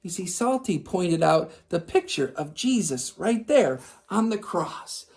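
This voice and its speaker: A woman hosting a children's read-aloud, narrating a story expressively and pausing for simple lessons. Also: lowish voice, sing-song, darkish tone. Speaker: children's story reader and host.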